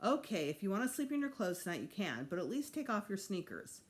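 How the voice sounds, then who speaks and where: Woman playing a storybook mother, patient, reassuring, coaxing, animated melody, medium pitch. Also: fairly quick, lightly acted. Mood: gentle. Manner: placating, coaxing.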